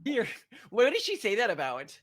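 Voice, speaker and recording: A man's voice: very high-pitched, huge pitch swings, normal speed, fairly bright tone. A man in his late thirties co-hosting a podcast, bantering in a mock-boastful way. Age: 30s. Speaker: podcast co-host. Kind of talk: casual chat.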